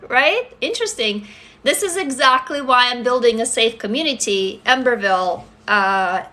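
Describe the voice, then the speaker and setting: A woman's voice: fairly high pitch, pushed and bright. A woman in her forties with a Russian accent, chatting candidly and swearing freely on a phone livestream from her desk indoors. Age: 40s. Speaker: livestream host. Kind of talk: casual livestream chat. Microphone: phone camera close up.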